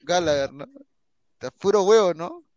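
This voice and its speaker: A man's voice: sits high, expressive, normal speed, a little mellow, no echo, highs cut off. A Peruvian man hosting a casual football talk show, bantering with co-hosts and reading viewer comments aloud. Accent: Peruvian Spanish.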